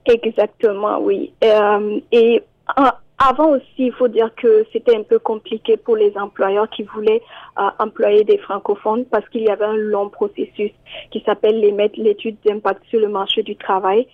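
A woman's voice: medium pitch, little pitch movement, normal speed, no echo. A woman immigration columnist, speaking in a radio interview and hesitating with many fillers and repeated words. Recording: tinny phone line, very dry.

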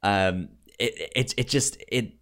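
A man co-hosting a casual film discussion, speaking haltingly and often repeating sounds and words as he goes. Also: on the low side, fairly bright tone. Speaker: film podcast co-host.

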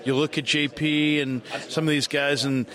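A man's voice: a little high, flat delivery, moderate pace. An American man working as a sports reporter, asking questions in a conversational locker-room interview. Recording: background chatter of busy locker room. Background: crowd chatter.

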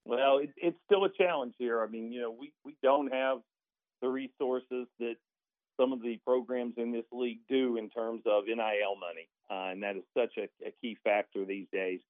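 A man's voice: medium pitch, steady tone, moderate pace, no echo. A man, a sports announcer appearing as a guest, talking through basketball analysis in a talkative, conversational way with folksy, emphatic asides. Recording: tinny phone-like line.

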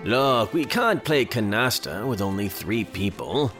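An actor playing a chummy, eager gentleman card player in a period audio drama. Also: fairly low pitch, expressive, unhurried, loud music behind the voice. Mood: eager, sociable.

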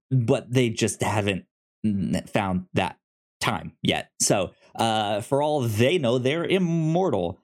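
Halting man with lively, swinging pitch. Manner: halting, stammering with repeated syllables. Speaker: podcast co-host. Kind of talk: casual film review chat.

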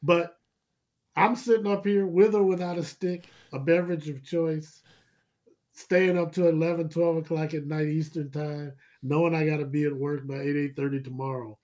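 A man's voice: a little high, fairly even intonation, moderate pace, a little mellow. A man, chatting casually about sports, rambling and laughing often. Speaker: podcast guest.

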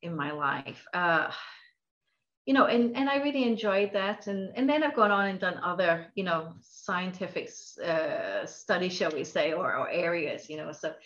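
A woman's voice: on the low side, expressive, normal speed, a bit bright, muffled recording. A woman with a mixed international accent from Scottish and Norwegian roots, chatting as a guest scientist in a podcast interview, laughing often and using many fillers. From Norway.

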